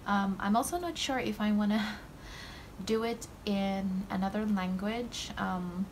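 A young woman from Malaysia chats unhurriedly at her desk, counting out money as she explains her budget. Her pitch sits in a middling range and her talk drifts with ums and likes. A clear layer of background noise runs under the recording. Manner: slow, relaxed, with many ums and likes. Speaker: budgeting channel host. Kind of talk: casual talk to camera while counting cash. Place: indoors at a desk. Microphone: overhead camera framing desk and hands.

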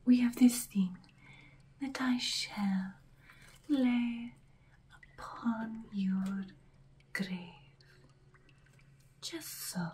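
Centuries-old female vampire, played by a woman, ASMR roleplay: menacing, condescending, breathy whisper, extremely unhurried delivery. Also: a little high, fairly even intonation, a little mellow, a little hiss or hum. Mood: haughty, deliberate. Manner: slow, deliberate, taunting, condescending.

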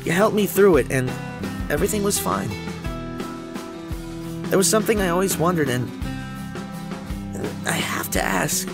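A woman's voice: fairly low pitch, expressive, moderate pace, creaky, long pauses, over music. A woman voicing an anxious, scatterbrained teenage schoolboy in an audio drama, hesitant and exclamatory. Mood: worried, sincere.

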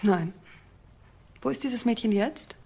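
A composed ex-wife in a German crime radio play. Her voice sits fairly high, and she speaks with curt, bitter detachment. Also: slow, creaky, mellow. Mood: detached, bitter. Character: cool, bitter divorced wife of murdered man.

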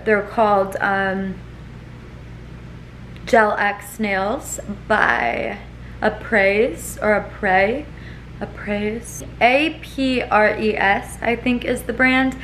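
A young woman talks straight to a camera held close at home, loose and chatty, with plenty of "like" and "um". Her voice sits at an average pitch over a faint hum of room ambience. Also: fairly bright tone, poor audio. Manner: chatty, rambling, lots of fillers. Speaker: vlogger. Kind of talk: casual vlog chat to camera. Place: home living room and kitchen. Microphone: camera at arm's length.

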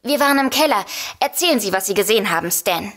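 An actress playing a brisk, composed woman in a comedic audio drama. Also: mid-range voice, animated, fairly bright tone. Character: brisk, composed woman who dotes on her cat.

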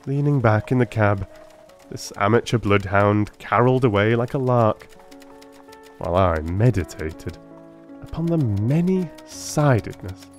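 Expressive male audiobook narrator. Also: on the low side, measured pace, soft background music. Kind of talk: dramatic scripted narration.

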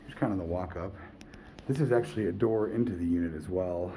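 A man giving a casual, off-the-cuff property walkthrough in a low-key, matter-of-fact way, as if thinking aloud. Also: fairly quick. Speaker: real estate agent giving a property walkthrough. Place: walking through a condo, briefly outdoors. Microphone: handheld phone at arm's length. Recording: handheld phone recording with background noise.

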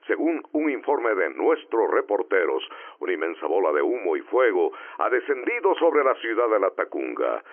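A man's voice: lowish voice, sing-song, moderate pace. Guy playing old timey radio drama news man, alarmed n formal, big swoops, tinny radio filter. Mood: grave alarm. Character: alarmed male 1940s radio newsreader announcing invasion. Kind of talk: 1940s radio news acting. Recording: thin, phone-like old radio sound.

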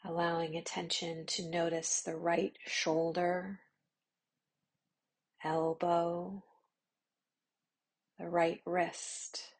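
A woman guiding a yoga nidra meditation in a slow, soft, soothing voice, with long pauses and some phrases half whispered. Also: on the low side, completely flat delivery, husky. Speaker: meditation guide.

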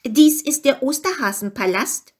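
Lady reading a friendly storybook easter bunny guy, proudly explaining stuff, slightly husky, very lively pitch. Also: normal speed.

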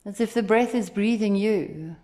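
A woman leading a guided meditation as instructor, speaking in a gentle, soothing, unhurried way. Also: medium pitch. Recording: echoey, roomy sound.

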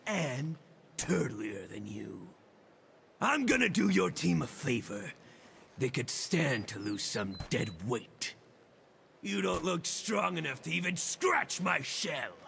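A man voicing a big, boastful mutant turtle brawler for a video game, gravelly and forceful, with punchy, taunting delivery. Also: fairly high pitch, slight background noise, full character voice. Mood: taunting, smug. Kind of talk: video game character voice lines.

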